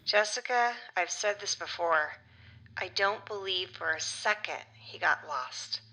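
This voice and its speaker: A middle-aged actress playing a grieving mother of a missing son, halting and emotional, in a naturalistic audio drama interview. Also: medium pitch, pushed and bright, low-quality recording. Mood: insistent, pained.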